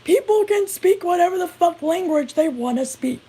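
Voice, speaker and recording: A woman's voice: very high-pitched, lively intonation, normal speed, warm tone. A woman with an American accent giving a casual opinion rant to viewers, blunt and indignant, swearing freely. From United States. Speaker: vlogger.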